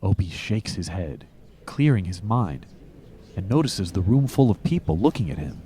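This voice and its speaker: A man's voice: low-pitched, very animated, normal speed, mellow. A man reading scripted comedic narration as the narrator of an audio drama. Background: music.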